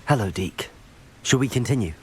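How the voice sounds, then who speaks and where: A man's voice: mid-range voice, expressive, normal speed, a little mellow, breathy edge. An actor playing a polite, keen young male student wizard in a video game. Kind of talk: video game character dialogue.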